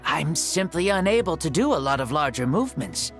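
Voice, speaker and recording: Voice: mid-range voice, big pitch swings, brisk, soft background music. An actor playing a spirited ancient hero living in a borrowed young body, with a playful, theatrical way of talking in a video game. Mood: playful, rueful. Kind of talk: scripted video game dialogue.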